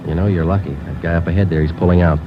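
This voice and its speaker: A man's voice: deep voice, rapid-fire, background noise, lightly acted. A man playing a terse, matter-of-fact police sergeant narrating a 1940s radio crime drama. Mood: brisk. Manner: clipped, matter-of-fact, deadpan. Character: terse, businesslike Los Angeles police sergeant. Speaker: lead actor and narrator. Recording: muffled old radio recording with music.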